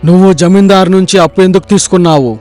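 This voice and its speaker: A man voicing a partial, dismissive king in an animated story, speaking with authority. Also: sits high, rapid-fire, faint music behind, natural voice. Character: partial, dismissive king favouring his friend.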